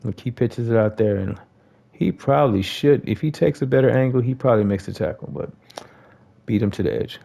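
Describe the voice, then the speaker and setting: A man's voice: mid-range voice, fairly quick, gravelly, dark tone. An American man giving a casual, conversational gameplay tutorial, talking informally to viewers as a host.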